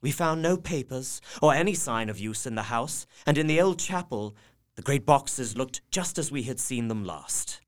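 A man's voice: mid-range voice, expressive, normal speed. A man narrating a gothic drama in the first person, earnest and heartfelt. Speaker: narrator and young husband character.

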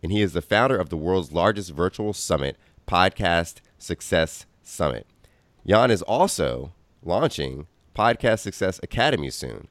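A man's voice: low-pitched, animated, normal speed, no echo. A man hosting a podcast interview, upbeat and chatty, often adding "right?" and "you know". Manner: enthusiastic, filler-heavy, keeps asking "right?". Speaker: podcast host.

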